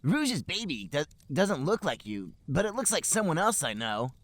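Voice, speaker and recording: A man's voice: fairly high pitch, big pitch swings, normal speed, fairly bright tone, no room sound, pitched above the performer's own voice. A man voicing a brash, eager teenage cartoon hedgehog in a fan fiction reading. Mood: curious.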